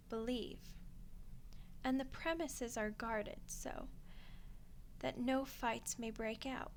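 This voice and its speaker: Woman playing ancient vampire queen, female, gracious, teasing, courtly, very slow delivery, ASMR roleplay, noisy background. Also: on the high side. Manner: courtly, unhurried, coaxing, formal phrasing. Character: gracious, teasing centuries-old vampire queen hosting her masquerade. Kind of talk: ASMR roleplay monologue addressed to the listener.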